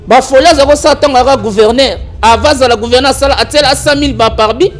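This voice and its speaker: A man with a Congolese accent, an animated and argumentative political commentator on a talk show. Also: extremely high pitch, quick pace, soft background music. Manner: animated, argumentative, mixes French and Lingala.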